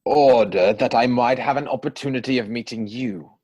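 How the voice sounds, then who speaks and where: A young gentleman, played by a man, speaks in a medium-pitched voice with a hesitant stammer. He is flustered and evasive, the nervous nephew of a period comedy reading. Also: normal speed, gravelly, husky. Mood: earnest.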